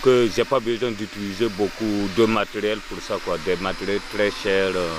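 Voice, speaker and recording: Man, lowish voice, hesitant, faint noise behind. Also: steady tone, normal speed. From Burkina Faso. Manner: hesitant, with many fillers and restarts. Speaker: bronze-casting workshop leader. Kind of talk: documentary interview.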